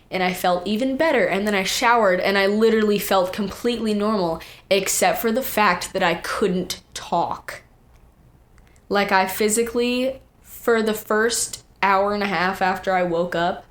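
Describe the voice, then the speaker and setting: A teenage young woman, a guest singer, telling stories in casual, animated chat full of quoted asides. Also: mid-range voice.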